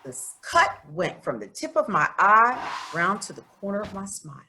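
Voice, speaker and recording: A woman's voice: medium pitch, very animated, moderate pace, background noise. A woman voicing a stubborn, earnest farm girl of about twelve who narrates a children's storybook in a plain, folksy, confiding way.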